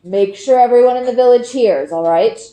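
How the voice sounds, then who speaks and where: Woman voicing grave storybook village official, male, solemn, deliberate, formal announcer, roomy echo. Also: on the high side, warm tone, lightly acted. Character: grave senior village Seeker, a man making an announcement.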